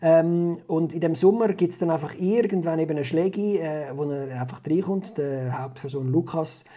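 A man's voice: fairly high pitch, moderate pace, dark tone. A man with a Swiss German accent chatting casually as he reviews a film, telling it in a rambling way. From Switzerland.

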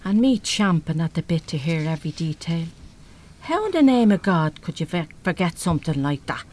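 A woman's voice: lowish voice, big pitch swings, fairly quick, darkish tone. A woman with an Irish accent playing a battered but defiant working-class mother in a live radio play. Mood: low-key, prying. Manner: blunt, salty, plain-spoken.

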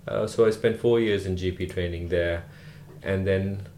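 A man from Sri Lanka, a rural GP, answering podcast interview questions in an unhurried way with many "uh" fillers. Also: low-pitched, fairly even intonation, mellow, echoey room, a little hiss or hum. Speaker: guest, rural GP.

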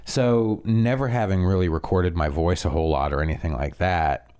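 Deep-voiced relaxed Canadian podcast host. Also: male voice, moderate pace, clean tone. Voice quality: nasally. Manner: relaxed, rambling with fillers. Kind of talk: casual chat.

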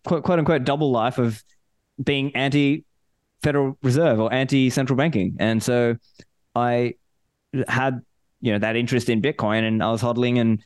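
A man raised in Australia chatting as a podcast guest, relaxed and thoughtful, with fillers and restarts. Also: medium pitch, dry recording. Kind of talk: casual interview chat.

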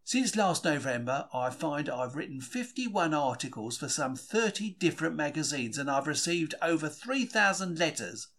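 A man's voice: on the high side, sing-song, normal speed, few pauses, fairly bright tone. A man reading a first-person diary from a script in a conversational, confiding storytelling manner. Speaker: audiobook-style reader of a diary.

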